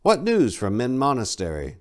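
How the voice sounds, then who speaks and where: An aged abbot of a fantasy monastery acted by a male audiobook reader questions with stern authority. His pitch sits raised and moves in lively arcs. He is measured yet openly suspicious of his own flock. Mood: questioning, puzzled. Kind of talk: dramatic fantasy audiobook reading.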